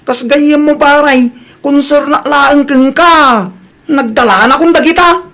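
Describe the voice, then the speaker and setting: A woman's voice: high voice, slow, fairly bright tone, heavily acted. A woman with a Filipino Ilocano accent playing a frank young friend bearing news in a comedy drama. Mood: insistent.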